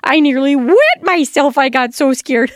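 A woman's voice: sits high, expressive, unhurried, dry recording, studio quality. A woman playing a chatty, randy old widow in a radio comedy sketch, gushing and rambling theatrically. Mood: excited, dramatic.

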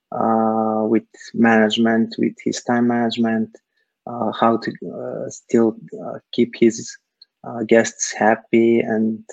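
A man from Serbia, answering interview questions haltingly over a video call, filling pauses with lots of uh. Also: medium pitch, vocal fry, a little mellow, no room sound, highs cut off. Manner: hesitant, many uh fillers. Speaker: guest, startup technical founder.

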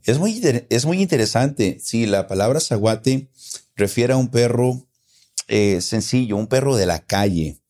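A man's voice: medium pitch, expressive, unhurried, darkish tone. A man with a Costa Rican accent, patiently explaining local words in a casual conversation, often repeating his points. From Costa Rica. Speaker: guest explaining local slang.

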